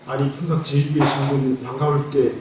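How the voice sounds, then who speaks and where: Echoey pastor with a light Gyeongsang accent. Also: male voice, mid-range voice, little pitch movement, fairly quick, very noisy. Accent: North Gyeongsang (Andong). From Andong, South Korea. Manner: playful, teasing the congregation. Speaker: guest pastor. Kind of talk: joking testimony sermon. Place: church sanctuary. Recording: echoey church from a distance.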